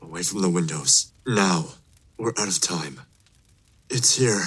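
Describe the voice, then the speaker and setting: Low, hushed protective father. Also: animated, measured pace, husky, full character voice. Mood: hushed urgency. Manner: hushed, terse commands. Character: protective, grave father leading his family to hiding. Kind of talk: dramatic audio drama acting.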